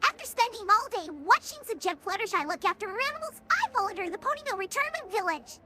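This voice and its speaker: A synthetic female voice playing an excitable young pony detective in a fan cartoon audio drama, chirpy and self-important. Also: very high voice, fast talker, background noise. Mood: secretive, eager. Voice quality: synthetic cartoonish text-to-speech voice.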